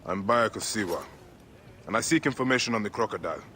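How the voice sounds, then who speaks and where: Driven vengeful egyptian hunter, deepish voice, fast talker, video game cutscene. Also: male voice, expressive, bright, forceful tone. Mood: resolute. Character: Bayek, determined vengeful male Egyptian warrior hunter. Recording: ambient noise behind the voice.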